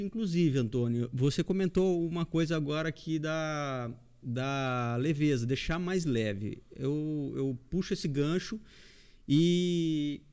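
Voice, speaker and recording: A man's voice: on the high side, dark tone, smooth. A man hosting a casual interview podcast, chatting informally and calling himself an amateur while inviting listeners to send in questions. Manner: informal, self-deprecating, invites audience questions. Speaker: podcast host.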